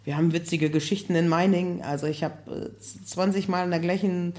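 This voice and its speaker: A hoarse woman talking casually as a podcast guest, chatty and laughing often, with many fillers. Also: fairly low pitch, fairly even intonation, brisk, darkish tone. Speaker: guest, building project manager.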